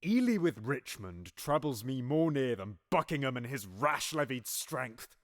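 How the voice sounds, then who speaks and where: A man's voice: medium pitch, very animated, measured pace, dry recording, studio quality. A man playing a ruthless, scheming king in an audio drama, mocking and sly, moving from murmurs to gleeful outbursts. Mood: commanding. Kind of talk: Shakespearean audio drama acting.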